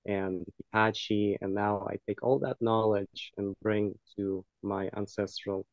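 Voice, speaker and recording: A man from Ukraine, a guest on a talk show, talking calmly with many fillers. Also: lowish voice, a little slow, dry recording, lo-fi sound. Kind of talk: casual interview chat.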